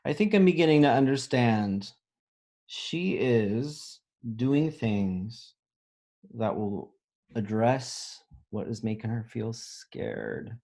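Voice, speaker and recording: A man reading a children's picture book aloud as a teacher, pausing to think aloud and explain things simply. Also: mid-range voice, mellow. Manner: pauses to pose questions and think aloud.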